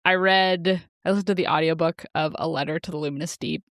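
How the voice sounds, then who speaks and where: Lower-pitched woman, giggly book chat. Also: fairly even intonation, fast, dry recording, studio quality. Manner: giggly, enthusiastic. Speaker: podcast co-host.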